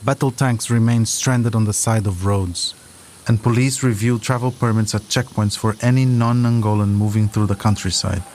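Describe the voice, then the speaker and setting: A man's voice: lowish voice, steady tone, normal speed, a little mellow, slight background noise. A man narrating a scripted audio documentary as its host.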